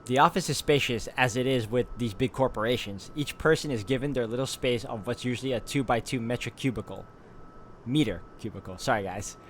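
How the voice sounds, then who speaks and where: A man's voice: mid-range voice, slight background noise. A man hosting a let's play, reading visual novel narration aloud and dropping in casual asides.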